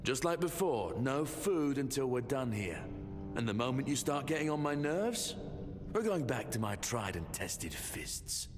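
An actor playing a gruff, contemptuous jailer interrogating a prisoner in a video game, threatening and scornful. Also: male voice, mid-range voice, sing-song, measured pace. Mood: menacing, impatient. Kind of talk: video game dramatic dialogue. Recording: long stone-hall reverb under music.

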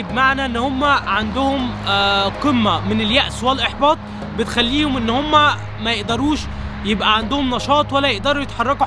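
A man in his thirties with an Egyptian accent, a vlogger asking quick, friendly interview questions outdoors at night. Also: very high voice. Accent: Egyptian Arabic. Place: outdoors at night by a lit waterfront.

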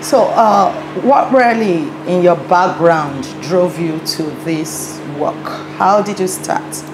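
A woman's voice: fairly low pitch, slow pace. A woman interviewer asking questions hesitantly from off-mic. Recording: echoey, off-mic, with noticeable background noise.

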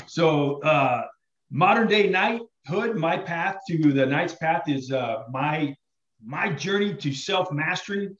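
Casting: male podcast host, forties, informal delivery, fairly high voice, very roomy lo-fi sound. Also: fairly bright tone. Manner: informal, slangy. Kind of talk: casual podcast introduction and questions. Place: indoor room with backdrop. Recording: echoey, lo-fi room sound.

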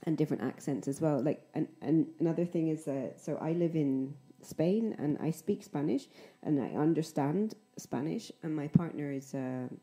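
A woman with a light Scottish accent hosts a relaxed podcast chat. Her voice sits low and sounds dark and mellow. She speaks clearly and carefully, with many small fillers. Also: moderate pace. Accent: Scottish, softened by years in England. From Scotland. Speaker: podcast host and English teacher. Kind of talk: casual interview chat.

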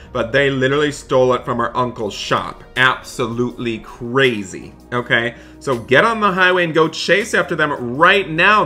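A man hosting a gaming roleplay as a dad, narrating excitedly in a dramatic, exasperated way and repeating himself. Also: medium pitch. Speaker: gaming video host playing a dad in roleplay. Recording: background music behind voice. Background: music.

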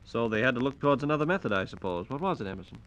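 A man's voice: medium pitch, animated, racing, a bit bright, muffled recording. A man with an American accent co-hosting in scripted 1940s radio style, informally prompting the main speaker. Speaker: radio announcer and co-host. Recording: 1940s radio transcription disc.

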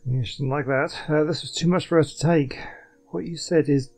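A man's voice: a little high, fairly even intonation, moderate pace, a little mellow. A man giving casual playthrough commentary on a video game in a musing, conversational way. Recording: faint game ambience behind.

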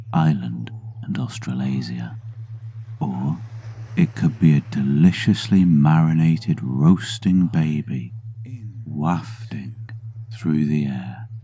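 A man narrating a scripted guided meditation in a hushed, husky near-whisper, soothing and deliberate with long pauses. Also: rumbling low.

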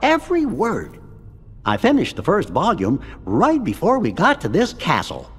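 A man's voice: high-pitched, normal speed, breathy edge, exaggerated voice. A man voicing a tiny, fussy, genteel male cartoon cricket who keeps records, in a video game. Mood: pleased, confident. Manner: precise, genteel, expressive. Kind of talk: video game cutscene voice acting. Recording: long hall reverb, music behind.

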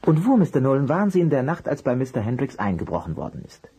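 A man's voice: mid-range voice, very animated, fast, warm tone, breathy edge, exaggerated voice. A man playing a polite, easily flustered private detective in a German audio drama, courteous and hesitant. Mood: polite, nervous. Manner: courteous, hesitant, apologetic under pressure. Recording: noisy, clicky vinyl transfer.

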